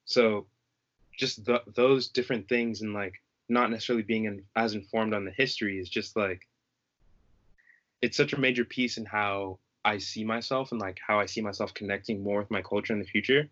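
A young American man, a college student, speaking thoughtfully in casual conversation, halting often with "like". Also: fairly low pitch, steady tone, fairly bright tone, dull audio. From United States. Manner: thoughtful, halting, frequent likes.

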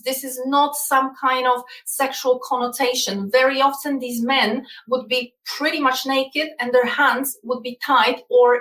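Polish woman explaining stuff, kinda high voice, roomy echo, sounds far from the mic. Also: a bit bright. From Poland. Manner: repeats words, earnest explaining. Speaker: guest. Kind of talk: casual interview talk.

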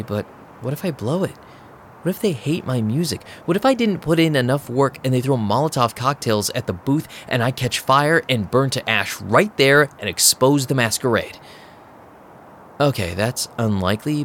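A man's voice: medium pitch, a bit bright, a little hiss or hum. An actor playing an anxious, wisecracking vampire DJ, telling his story in casual slang for an audio drama. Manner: casual, slangy, self-doubting. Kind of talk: first-person audio drama narration and dialogue.

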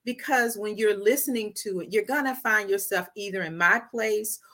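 A woman's voice: medium pitch, normal speed, pushed and bright. A woman guest on an interview podcast, talking earnestly and animatedly about her life and work as a storyteller. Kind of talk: casual interview talk.

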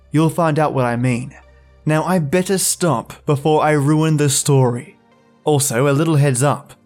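Male podcast host, slightly higher-pitched, theatrical and chatty, scripted intro, light music bed. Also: a little mellow.

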